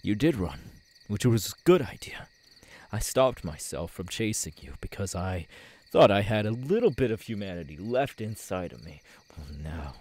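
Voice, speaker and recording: A man acting an audio roleplay as a tormented, menacing young killer, bitter and halting, with a husky voice. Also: fairly low pitch, big pitch swings. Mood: menacing, unstable. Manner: halting, brooding, bursting into anguished shouts.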